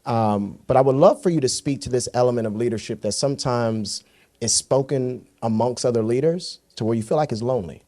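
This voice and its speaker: A man's voice: medium pitch, a little fast, mellow. A man in his thirties from Florida, moderating a panel in a conversational way with meandering, anecdotal lead-ins. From Florida, United States.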